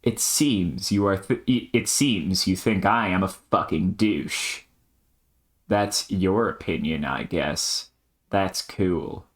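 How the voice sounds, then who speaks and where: Aloof AI autoresponder, played by a man: low, unhurried, deadpan, brusque, pedantic, amateur drama. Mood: dry, unmoved. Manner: brusque, flat, wordy and technical. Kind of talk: amateur scripted character dialogue.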